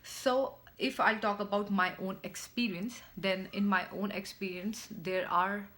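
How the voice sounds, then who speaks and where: A woman's voice: medium pitch, fairly even intonation, a little slow, fairly bright tone. A young woman with an Indian accent, explaining step by step to her viewers in a vlog, often repeating her words. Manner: instructive, repeats phrases, speaks in short stepwise chunks. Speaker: vlogger.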